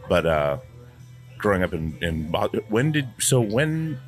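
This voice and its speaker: A man hosting a podcast from his basement, talking in a relaxed, rambling way with lots of fillers. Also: low voice, measured pace, faint music behind. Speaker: podcast host. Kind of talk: casual interview chat. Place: old house basement.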